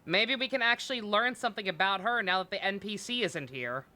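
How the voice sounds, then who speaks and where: Bright-voiced sarcastic woman streaming a game. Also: mid-range voice, expressive, fairly quick, a little hiss or hum. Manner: sarcastic, irreverent, swears freely. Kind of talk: casual live-stream commentary.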